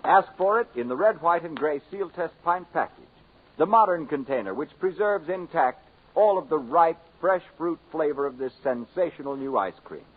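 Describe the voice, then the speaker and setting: Old time radio announcer guy, scripted ad read, bit high, husky, tinny scratchy 1940s recording. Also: expressive, moderate pace.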